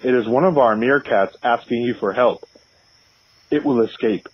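Husky deadpan caller played by a man, lo-fi line. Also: mid-range voice, moderate pace, lightly acted. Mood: deadpan, insistent. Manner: deadpan, announcer-like recitation. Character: stubborn, deadpan male prank caller reciting absurd animal facts. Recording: dull, lo-fi phone-in line.

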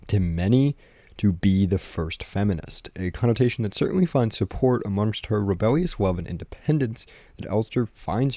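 Young male essay narrator, low register with heavy fry, close and dry recording. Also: mellow, no room sound. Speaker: video essay host. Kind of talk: scripted commentary narration. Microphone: close dry voice-over microphone.

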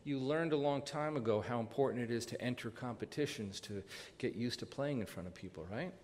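An older American man hosting a live stage radio show, introducing and interviewing in a halting, conversational way. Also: medium pitch, a little mellow. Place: large theater stage. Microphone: vintage stand microphone. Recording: reverberant theater hall, faint noise.

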